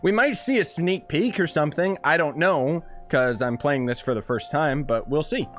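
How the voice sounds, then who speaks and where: A young man giving casual, joking commentary as he plays a video game, reacting out loud. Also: fairly high pitch, moderate pace. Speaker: gaming streamer. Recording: game music behind the commentary.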